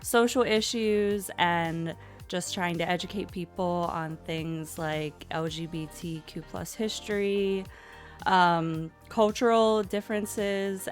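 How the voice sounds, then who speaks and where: Woman, lowish pitch, slow, measured, explanatory, podcast commentator. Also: steady tone, faint music behind. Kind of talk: casual interview chat.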